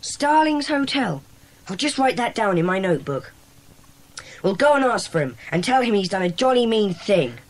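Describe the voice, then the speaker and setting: A woman's voice: mid-range voice, big pitch swings, normal speed, lightly acted, poor audio. A woman playing a decisive, self-important schoolboy club leader in a dramatised children's audiobook. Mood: determined.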